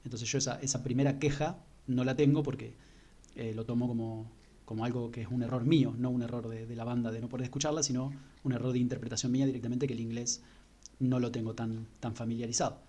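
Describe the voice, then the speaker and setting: Male co-host, warm mellow tone, thoughtful steady delivery. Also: medium pitch, breathy edge. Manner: measured, reflective, some restarts. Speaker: podcast co-host reviewing an album. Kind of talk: casual spoken album review.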